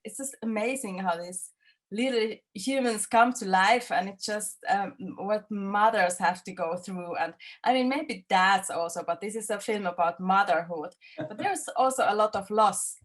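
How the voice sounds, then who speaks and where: Woman director, 30s, English, halting answers full of fillers. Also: medium pitch. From Finland. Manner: halting, many fillers and restarts. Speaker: film director. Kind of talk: festival interview, casual answers.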